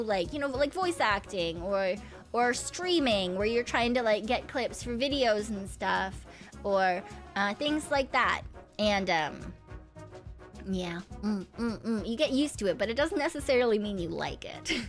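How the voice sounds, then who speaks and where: A young woman with a slightly high voice chats loosely on a stream, humming and giggling between thoughts. She rambles through fillers and drops a casual swear now and then. Soft music plays faintly behind her. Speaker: VTuber streamer.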